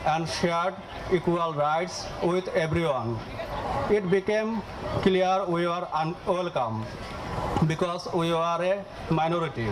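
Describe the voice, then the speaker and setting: Bengali-accented man, 30s, hesitant prepared speech, slightly high voice, noisy outdoor rally. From Bangladesh. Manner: hesitant, with filler uhs. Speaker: invited speaker.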